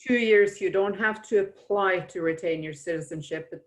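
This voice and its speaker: A woman's voice: medium pitch, moderate pace. A woman moderating a webinar, steadily reading out audience questions and adding short explanations, over a video call.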